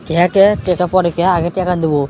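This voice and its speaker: A man's voice: sits high, flat delivery, quick pace, close to an everyday voice. A man playing a cranky, haggling village madman uncle in a comic cartoon, quarrelsome and repetitive, with a Bogura accent from northern Bangladesh. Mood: demanding. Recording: noisy recording with clear background noise.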